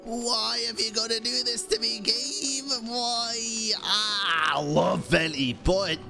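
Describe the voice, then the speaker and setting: A woman's voice: a little high, slow, creaky. A woman streamer giving casual, reactive live gameplay commentary in slangy exclamations. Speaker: game streamer. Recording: game music behind.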